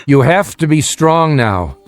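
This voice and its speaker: A man's voice: on the high side, sing-song, unhurried, no echo, faint music behind. An American actor playing a middle-aged, secretive family father in a radio drama, commanding, guarded and urgent. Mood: hushed urgency. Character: tense secretive family father plotting an escape.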